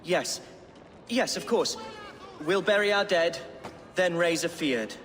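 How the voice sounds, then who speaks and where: A man's voice: high voice, slow pace, fairly bright tone. A man playing an earnest, unsure young Anglo-Saxon nobleman in a video game, somewhat hesitant in manner. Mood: uncertain, resolute. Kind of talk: video game cutscene dialogue. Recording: clear outdoor ambient noise behind.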